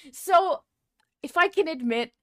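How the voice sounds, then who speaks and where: A woman's voice: extremely high pitch, a bit bright, no echo. A woman podcast host talking in casual, frank and playful conversation.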